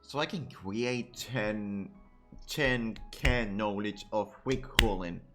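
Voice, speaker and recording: A man's voice: lowish voice, slow pace. A man giving casual, irreverent, sweary reaction commentary as he streams a game. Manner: irreverent, profane, interjecting. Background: game music.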